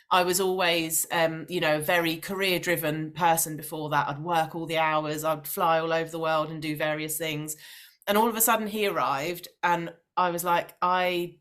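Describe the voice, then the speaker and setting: A woman's voice: low-pitched, fairly bright tone. A woman speaking as an interview guest, telling personal stories in a conversational, explanatory way. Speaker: podcast guest, maternal mental health coach. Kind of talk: personal storytelling in casual interview.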